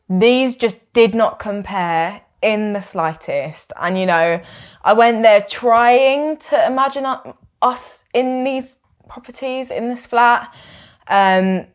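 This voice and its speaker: A young woman speaks to camera from a small room at home, chatty and candid. Her pitch sits mid-range and her tone is frank and emphatic. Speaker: vlogger. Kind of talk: casual vlog narration.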